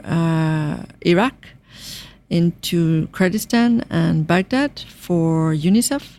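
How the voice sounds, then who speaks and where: A woman in her fifties from Ireland, with a softened Irish accent, answering interview questions in a measured way and listing items at length. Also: fairly low pitch. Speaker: UN finance director guest.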